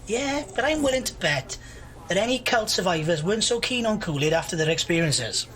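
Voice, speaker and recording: A woman's voice: medium pitch, lively intonation, a little fast, fairly bright tone, natural voice. A woman acting in an audio drama as a bored, opinionated, argumentative young procrastinator who loves gossip. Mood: defensive. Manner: argumentative, grumbling.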